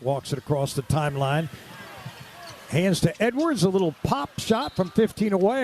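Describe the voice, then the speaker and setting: A man's voice: a little high, darkish tone, slight background noise. A man doing live radio-style basketball play-by-play in clipped, vivid running descriptions.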